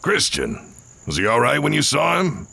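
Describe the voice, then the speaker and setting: An actor playing a gruff but friendly school sports coach in an audio drama, with a rough, gravelly voice and a casual, familiar manner. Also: male voice, medium pitch, wildly swooping pitch, normal speed, bright, forceful tone, no room sound, full character voice. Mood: casual, probing.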